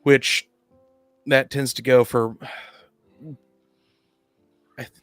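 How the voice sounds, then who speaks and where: Halting man in a casual discussion. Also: mid-range voice, flat delivery, a bit bright, clean tone, no room sound, faint music behind. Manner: halting, often repeats and rephrases. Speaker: podcast panelist. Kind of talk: casual discussion of tabletop roleplaying games.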